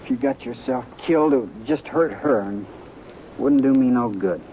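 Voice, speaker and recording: A man's voice: mid-range voice, normal speed, close to an everyday voice. A man playing a terse stubborn cowhand. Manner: terse, plain-spoken drawl. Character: quiet, stubborn young cowhand. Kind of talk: 1950s radio western acting. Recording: muffled, hissy old radio recording.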